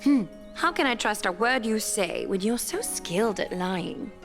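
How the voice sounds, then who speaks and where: An actress playing an elegant, composed, wealthy seamstress in a video game, speaking in a measured, knowing way. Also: female voice, medium pitch, animated, over music, lightly acted. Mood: suspicious, composed. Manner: poised, measured, gently knowing.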